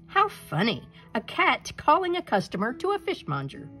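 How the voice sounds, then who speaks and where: Light, high, delighted young lady voiced by a woman. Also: huge pitch swings, normal speed, pushed and bright, soft background music, exaggerated voice. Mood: amused. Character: charmed, amused young lady in silk kimono.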